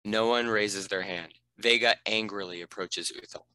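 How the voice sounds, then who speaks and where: Deep, bright-voiced male narrator. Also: steady tone, normal speed, smooth, no room sound, low-quality recording. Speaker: narrator reading stage directions. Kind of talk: scripted narration.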